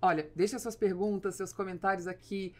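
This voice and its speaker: A woman's voice: medium pitch, steady tone, a little fast, dark tone. A woman with a Brazilian accent, hosting a podcast conversationally at a close studio microphone. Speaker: podcast host. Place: small foam-padded podcast studio. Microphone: studio microphone on boom arm, close.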